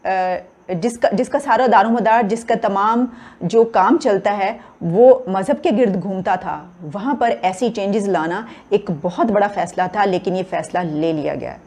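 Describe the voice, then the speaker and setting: A woman with a Pakistani accent speaks as a commentator in an unscripted news discussion. Her voice is soft-edged and mellow, at an average female pitch. She builds her explanation step by step. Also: measured pace. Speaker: talk show commentator.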